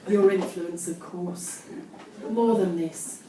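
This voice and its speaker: A woman gives a self-mocking, deadpan comic reading of teenage writing to a live audience. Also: on the low side, slow. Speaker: amateur performer reading her teenage diary on stage. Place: indoor live show in London, audience laughing behind. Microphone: distant phone camera in the audience. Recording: echoey, noisy live venue recorded from far off.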